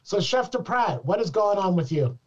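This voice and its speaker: A man with a high voice moderates a panel over a video call. He introduces speakers haltingly, with plenty of fillers. The call audio sounds dull and lo-fi. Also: sing-song.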